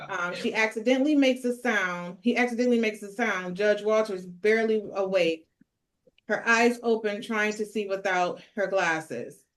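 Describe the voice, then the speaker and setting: A woman with a medium-pitched voice narrates a script. She reads scene headings and action lines as the leader of a table read. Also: normal speed. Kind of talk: reading screenplay stage directions.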